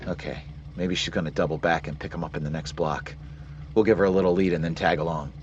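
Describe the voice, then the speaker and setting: An actor playing a terse police sergeant who narrates his case in a flat, matter-of-fact way, in 1940s radio acting style. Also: low-pitched, fast talker, dull audio, heavy background noise, natural voice. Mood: tense.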